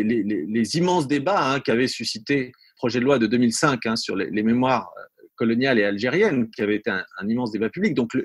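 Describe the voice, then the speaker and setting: Husky man, dry sound, thinking aloud. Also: medium pitch, no echo. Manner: hesitant, repeating words, tagging phrases with "hein". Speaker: academic historian, interview guest. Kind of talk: unscripted analytical commentary.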